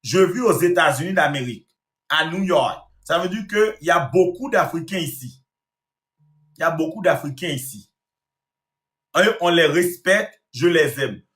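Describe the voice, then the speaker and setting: A man from Haiti lectures his audience in a long, scolding rant, repeating phrases to hammer his point home. His voice is bright and a touch high. The room around him echoes. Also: animated, normal speed. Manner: scolding, repetitive, addresses the audience directly. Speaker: online commentator. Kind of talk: ranting monologue to viewers.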